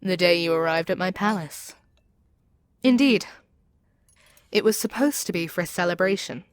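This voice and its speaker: Woman voicing an imperious demon queen, low and theatrical. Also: normal speed, dry recording, studio quality, close to an everyday voice. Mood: wistful, curious. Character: proud, seductive demon queen brainwashing her captive hero. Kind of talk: ASMR audio roleplay acting.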